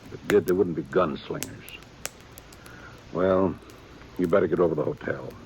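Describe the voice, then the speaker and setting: Older frontier sheriff, weary, earnest, very deep voice, folksy drawl, faint hiss. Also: male voice, moderate pace, a little mellow, rough recording. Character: aging small-town sheriff, weary and dutiful. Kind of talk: 1950s radio drama acting. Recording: old radio broadcast with faint hiss.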